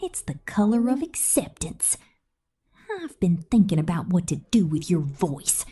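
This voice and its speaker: Casting: a woman playing a cruel Southern tinkerer in ASMR, breathy whisper, lively teasing lilt, faint music behind. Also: fairly low pitch, measured pace, exaggerated voice. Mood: menacing whisper. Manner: whispered, teasing, calls the listener sugar. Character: sadistic, cool-headed woman inventor and robot tinkerer. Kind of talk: ASMR roleplay.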